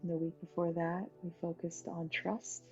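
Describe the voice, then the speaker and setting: A woman leading a guided meditation as its teacher, talking in an unhurried way with pauses between short phrases. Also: fairly low pitch, flat delivery, darkish tone. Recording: dull lo-fi sound, music behind. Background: music.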